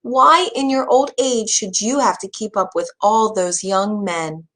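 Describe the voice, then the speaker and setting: A woman's voice: mid-range voice, a little slow, fairly bright tone, pitched above the performer's own voice. A woman voicing a brave, devoted young daughter in a storybook, speaking earnestly and with resolve. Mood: worried, pleading.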